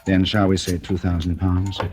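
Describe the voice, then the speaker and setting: An actor playing a suave, mocking, cold-blooded British blackmailer in an old-time radio thriller, speaking with urbane deliberation. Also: male voice, low-pitched, flat delivery, normal speed, a little mellow, close to an everyday voice. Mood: smug, taunting. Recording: noisy vintage radio recording with clicks.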